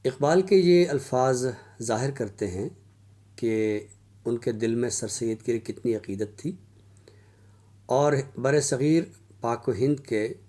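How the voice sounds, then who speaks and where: Slow, measured male lecturer. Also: mid-range voice, mellow. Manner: measured, formal, deliberate with pauses. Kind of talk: scholarly literary lecture.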